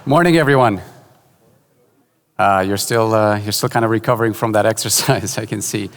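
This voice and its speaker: A man from Portugal giving a conference keynote, relaxed and joking, with many fillers and restarts. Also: fairly low pitch, normal speed. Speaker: keynote speaker. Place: large conference hall. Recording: hall reverb.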